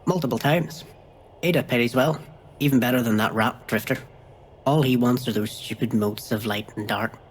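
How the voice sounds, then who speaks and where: A man's voice: medium pitch, normal speed, slight background noise, natural voice, poor audio. A man playing a guarded, wry outcast in a science fiction audio drama. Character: outcast male sci-fi exile, guarded, wry, pleading.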